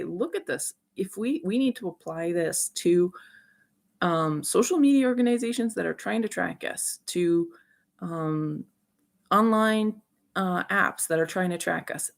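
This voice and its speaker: A woman giving rambling opinion commentary as a video host, speaking haltingly but emphatically, with an aggrieved manner. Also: medium pitch, creaky.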